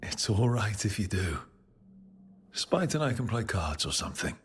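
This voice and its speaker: An actor playing a charming, steadfast hero in a video game, speaking softly with tender reassurance and gentle teasing. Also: male voice, lowish voice, sing-song, moderate pace, slight background noise. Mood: tender, reassuring. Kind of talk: intimate video game dialogue. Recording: faint music underneath.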